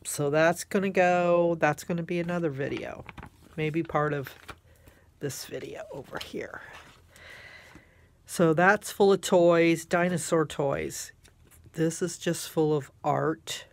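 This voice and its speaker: A woman's voice: low voice, fairly even intonation, slow pace. A woman craft vlogger chatting casually and gushing over the items she shows, sounding congested with a snotty nose. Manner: gushing, exclamatory. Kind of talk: casual rambling chat while showing craft items.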